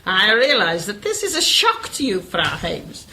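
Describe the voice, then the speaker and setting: A woman's voice: medium pitch, huge pitch swings, unhurried, bright, forceful tone, a little hiss or hum, full character voice, rough recording. A woman playing a scheming female spymaster, mocking and theatrical in an amateur radio play. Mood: cold taunting. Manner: gloating, mocking, theatrical laughter.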